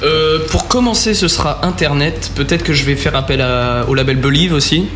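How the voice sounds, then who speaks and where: A man's voice: on the high side, moderate pace, background noise. A young man with a French accent, a band singer chatting casually and slangily as an interview guest.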